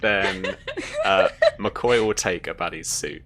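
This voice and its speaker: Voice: low-pitched, takes it slowly, a bit bright. A game master running a tabletop roleplaying game in a casual way, talking players through rules and outcomes.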